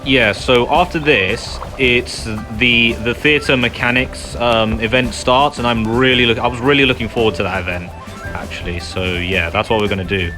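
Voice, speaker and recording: A man's voice: medium pitch, steady tone, normal speed. A man hosting a gaming video with casual, conversational playthrough commentary. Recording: game music behind voice.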